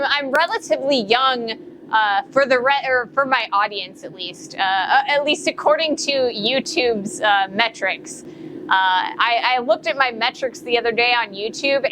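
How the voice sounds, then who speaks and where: A woman's voice: fairly high pitch, background noise. A woman in her late thirties chatting casually on a live stream while forging, rambling with many fillers and self-corrections. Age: 30s. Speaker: blacksmith streamer. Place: forge workshop.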